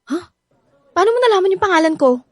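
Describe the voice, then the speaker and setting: A woman's voice: extremely high pitch, lively intonation, fast talker, dry recording, clean studio sound. An actress with a Filipino accent playing an irritable, guarded young woman in a radio drama, sarcastic and combative. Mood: irritated, sarcastic.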